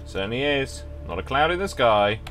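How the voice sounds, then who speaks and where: Middle-aged dad, animated and bright, cheerful and self-mocking. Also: male voice, medium pitch, moderate pace, faint music behind. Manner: cheerful, dryly self-mocking, easily surprised. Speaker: father and co-host playing a game. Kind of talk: casual gaming chat.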